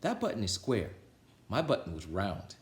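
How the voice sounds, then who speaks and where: A man's voice: lowish voice, huge pitch swings, normal speed, echoey room. A man voicing a grumpy, easily exasperated male toad from a children's storybook, exclaiming and wailing his complaints. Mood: complaining.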